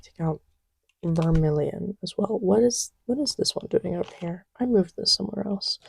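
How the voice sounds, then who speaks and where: A woman playing a playful girlfriend in an intimate ASMR roleplay, rambling casually and giggly, often dropping to soft murmurs close to the microphone. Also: lowish voice, moderate pace, gravelly, breathy edge, exaggerated voice, rough recording. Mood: murmuring, absorbed. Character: giggly, playful girlfriend testing markers on boyfriend's lap.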